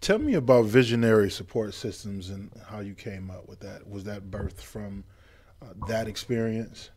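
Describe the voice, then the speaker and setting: Low-voiced male co-host, casual. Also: moderate pace, a little mellow. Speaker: talk show co-host. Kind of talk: asking interview questions.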